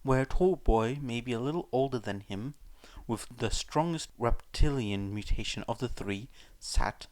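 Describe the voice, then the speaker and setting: A man's voice: medium pitch, animated, measured pace, a little mellow, dry recording. A man reading scripted fiction aloud as an audiobook-style narrator. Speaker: podfic narrator.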